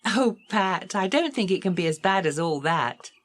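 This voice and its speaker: A woman's voice: medium pitch, animated, moderate pace, close to an everyday voice. A woman playing a kindly, down-to-earth village woman in a children's audiobook, with a reassuring manner. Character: kindly, sensible village woman, gently soothing. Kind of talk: children's audiobook character acting.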